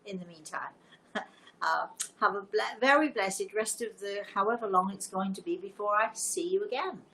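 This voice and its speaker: Older woman with a creaky voice. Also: medium pitch, moderate pace, pushed and bright, rough recording. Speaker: live show host and storyteller. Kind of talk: casual livestream chat to viewers. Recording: noticeable background noise.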